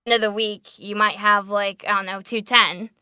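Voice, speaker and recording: A woman's voice: mid-range voice, steady tone, moderate pace, bright, forceful tone, clean tone, no echo. A woman who works as a voice actor, talking informally and upbeat as she explains things on a panel. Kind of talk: casual panel Q&A chat.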